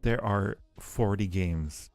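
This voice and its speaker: A man's voice: fairly low pitch, slow, a little mellow, no echo, faint music behind. A man hosting a casual livestream, chatting playfully and affectionately with viewers in a rambling way, often repeating phrases. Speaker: livestream host.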